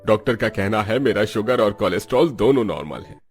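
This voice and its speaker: A man's voice: lowish voice, animated, fairly quick, faint music behind, close to an everyday voice. A man voicing a proud, cheerful elderly father-in-law in a cartoon, teasing and boastful. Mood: proud, pleased.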